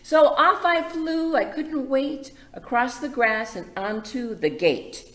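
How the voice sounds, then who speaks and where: A woman's voice: high-pitched, slow pace, fairly bright tone, voice pushed up. A woman voicing an eager, playful young woodpecker in a bouncy, singsong picture-book read-aloud. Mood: excited, eager. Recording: echoey room with background noise.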